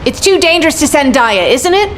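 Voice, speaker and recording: A woman's voice: sits high, sing-song, a little hiss or hum. An actress playing a protective, worried mother figure in a game cutscene, speaking in a hesitant, careful way. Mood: reluctant. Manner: protective, hesitant, slow-spoken. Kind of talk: video game cutscene acting.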